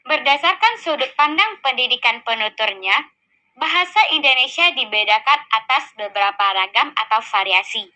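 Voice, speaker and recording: A young woman, a student, reading her group's class presentation in a steady, careful way. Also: fairly high pitch, low-quality recording.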